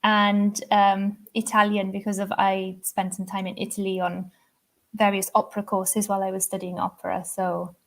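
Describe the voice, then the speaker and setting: A woman's voice: medium pitch, flat delivery, moderate pace. A young adult Welsh woman with a Welsh accent, a singer chatting as a podcast guest, talkative and laughing often. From Wales. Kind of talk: casual interview chat.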